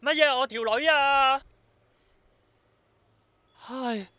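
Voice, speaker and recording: A man's voice: extremely high pitch, extremely slow, bright, forceful tone, no room sound, exaggerated voice. An actor playing an eager, earnest, insistent young man in a radio drama, with a Hong Kong accent. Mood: flustered, friendly. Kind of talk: Cantonese radio drama acting.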